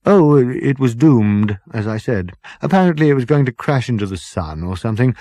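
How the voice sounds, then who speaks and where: A man's voice: mid-range voice, lively intonation, moderate pace, dark tone, no echo, clean studio sound, voice pushed up, lightly acted. A man voicing a genial, vague ship's captain, rambling politely in a comic science fiction audiobook. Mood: rambling, cheerful. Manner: rambling, hesitant, chummy, polite upper-class politeness. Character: genial, vague, dithering male ship's captain, cheerfully unbothered.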